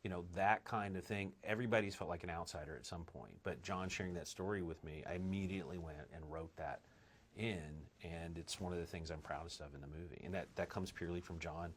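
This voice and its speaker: A man's voice: sits low, fast, slight background noise. A man, a film director, talking in a casual interview with enthusiasm and frequent restarts. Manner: enthusiastic, restarts phrases often.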